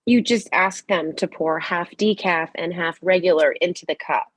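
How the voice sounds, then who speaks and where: A woman plays a chatty middle-aged mother in a comedy table read. Her voice is husky and unclear at the edges, and she delivers judgment in a breezy, needling way. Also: lowish voice, normal speed. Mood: particular.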